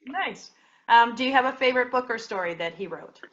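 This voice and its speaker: A woman's voice: a little high, expressive, brisk, fairly bright tone, lo-fi sound. An American woman casually hosting an interview for children, with informal, hesitant phrasing. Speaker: library host, interviewer. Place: remote recording from home.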